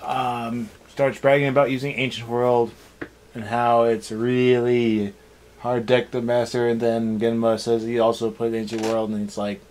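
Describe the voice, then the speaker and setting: A man's voice: mid-range voice, level tone, measured pace, a little mellow, low-quality recording. A man giving a casual, unscripted episode review as a co-host, with a sarcastic, snarky way of talking. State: tired.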